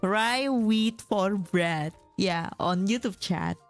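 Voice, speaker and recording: Slow, rambling young woman streamer. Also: mid-range voice, faint music behind. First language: not English. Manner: chatty and rambling, reads chat aloud, laughs often. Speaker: vtuber streamer. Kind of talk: casual chat with viewers.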